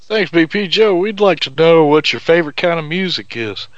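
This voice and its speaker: A man's voice: on the high side, lively intonation, normal speed, no echo. A middle-aged man co-hosting a radio interview, chatting in a friendly way. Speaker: radio co-host. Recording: dull lo-fi radio sound.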